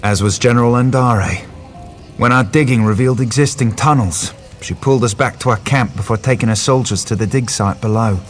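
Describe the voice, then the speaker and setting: A male actor playing a solemn, peaceable pilgrim in a fantasy game, speaking formally and gravely. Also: medium pitch, a little fast, soft background music, close to an everyday voice. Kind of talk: video game quest dialogue.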